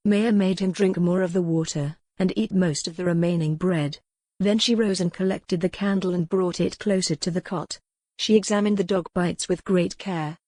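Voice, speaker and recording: A woman's voice: fairly low pitch, dark tone, no room sound. A woman reading a historical romance novel as a scripted, dramatic audiobook narration.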